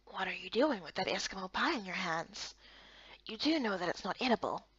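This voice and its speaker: A curt, reserved male guard done by a woman for a fantasy game. The delivery is lowered, deadpan and disapproving, with a dry close recording. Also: a little fast, husky, dry recording, dull audio. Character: low-voiced, gruff, curt male guard, stern and reserved.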